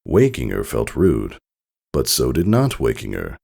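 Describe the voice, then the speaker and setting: A man reading a story aloud in expressive scripted narration. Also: very deep voice, unhurried, vocal fry, husky.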